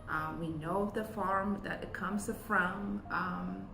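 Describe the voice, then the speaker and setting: A woman from Ethiopia, a business co-founder, talking earnestly in an interview, with many pauses and ums. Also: medium pitch, steady tone, vocal fry. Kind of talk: interview talk. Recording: roomy sound with music behind. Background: music.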